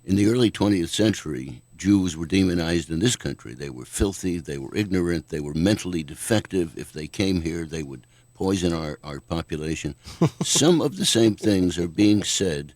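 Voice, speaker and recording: An older man, a guest author, answering questions in a measured, thoughtful way on a radio talk show. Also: sits low, animated, a little mellow, no echo. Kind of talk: radio interview.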